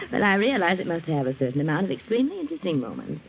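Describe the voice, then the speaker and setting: Low-voiced scheming wife, old radio. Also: female voice, very animated, fast, vocal fry. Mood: veiled resentment. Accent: American. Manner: genteel, pointed, insinuating. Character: poised, scheming wife of a businessman, falsely polite. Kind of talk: 1940s radio acting. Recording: muffled, noisy old radio recording.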